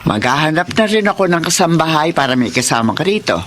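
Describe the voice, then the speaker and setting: Dramatic, protective Filipino mother, animated. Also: deep voice, fast. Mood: reassuring. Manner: emphatic, exclaiming, motherly. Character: protective, dramatic older mother, soon a grandmother. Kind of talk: Filipino radio drama acting. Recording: noisy radio drama track.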